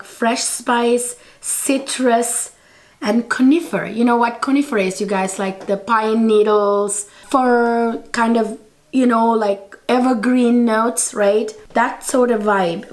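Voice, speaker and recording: Woman, 30s, hoarse and half-lost voice, chatty beauty vlogger rambling to camera. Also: a little high. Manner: chatty, rambling, with restarts and "you guys". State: hoarse, lost voice from a sore throat. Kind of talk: casual review to camera. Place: indoor studio set with textured wall.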